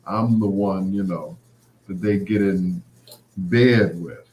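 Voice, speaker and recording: Low-voiced man hosting in an echoey room. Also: slow pace, darkish tone, a little hiss or hum. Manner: conversational, anecdotal, quoting past exchanges. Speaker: host of a late-night show. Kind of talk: casual rambling monologue to listeners. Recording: roomy, echoing sound.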